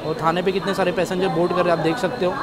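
A man in his thirties talks to his viewers in a chatty travel-vlog style, calling them "guys". His voice sits fairly high. He records on a phone held at arm's length, and loud train and platform noise runs under everything he says. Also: level tone, rapid-fire, over a noisy crowd. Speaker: travel vlogger. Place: train coach and station platforms.

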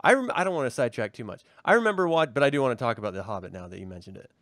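An American man talks casually as a discussion host. His phrasing is reflective and halting, with casual swearing. The voice sits at an average pitch in a very dry space. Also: animated, fairly bright tone, no room sound. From Ogden, Utah, United States. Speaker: host of a reading and discussion show.

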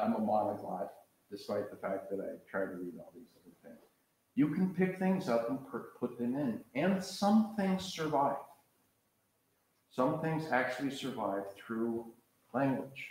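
An older American man from the Pacific Coast gives a conversational, digressive lecture, pacing in front of a seated audience in a large lecture hall, picked up by a far camera. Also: medium pitch. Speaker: professor leading a master class.

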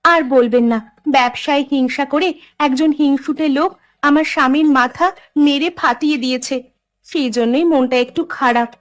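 A woman's voice: high voice, steady tone, moderate pace, lightly acted. A woman playing an anxious, caring fisherman's wife in a cartoon, with a worried, fretful manner. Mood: troubled. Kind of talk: Bengali cartoon dub.

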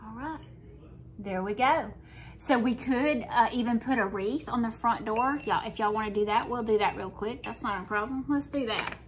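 A woman's voice: on the high side, normal speed, slight background noise. Chatty Southern woman painting at home. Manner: chatty, folksy, talks while working. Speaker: craft painting host. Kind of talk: casual painting tutorial. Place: home kitchen table, indoors.

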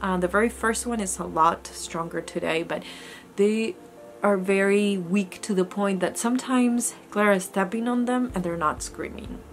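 A woman's voice: mid-range voice, moderate pace, soft background music. A young woman talking casually to the camera in an indoor room, chatty and earnest, often restarting her sentences. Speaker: homesteading vlogger. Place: indoor room with goat pen, daytime. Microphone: camera close in front.

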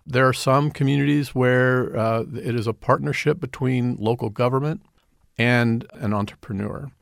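A man's voice: mid-range voice, steady tone, moderate pace, vocal fry, dry recording, clean studio sound. A middle-aged man answering radio interview questions earnestly, often repeating and restarting words. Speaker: community development director, guest.

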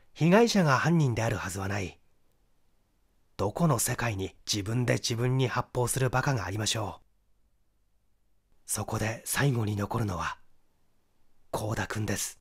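Man acting young male detective, medium pitch, methodical, assured, deliberate emphatic explanation, mystery audiobook. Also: husky.

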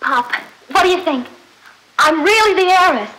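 An actress playing an excited, affectionate young daughter in a 1930s film. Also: female voice, very high voice, normal speed, heavily acted, rough recording. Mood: elated.

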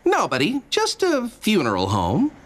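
A man with an American accent voicing a cocky, wisecracking, lecherous gentleman thief in a flippant, joking way for a cartoon dub. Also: sits high, wildly swooping pitch, unhurried, full character voice. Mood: wry. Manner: flippant, joking, exaggerated swings. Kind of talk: animated comedy dub acting. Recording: loud background music.

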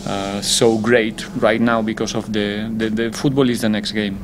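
Man, football coach, press conference, low voice, deliberate, noisy background. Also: level tone. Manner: measured, deliberate, pauses to search for words. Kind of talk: post-match press conference answers.

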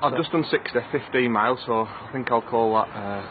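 A man's voice: medium pitch, fairly quick. A man, a runner chatting casually in an interview. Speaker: runner interviewed at charity event. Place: outdoors on a running track. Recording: muffled outdoor camera sound, clear ambient noise.

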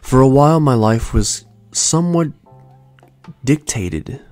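A young man in his twenties hosting a podcast, telling his own story in a soft, slow, soothing way. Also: medium pitch, animated. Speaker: podcast host. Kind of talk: calm reflective storytelling. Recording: faint lo-fi music bed. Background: soft lo-fi music.

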